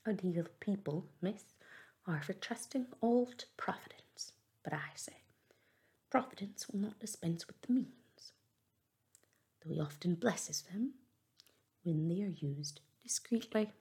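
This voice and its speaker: A woman voicing a composed, demure middle-aged female servant in a soft whisper. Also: on the low side. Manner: whispered, calm and measured.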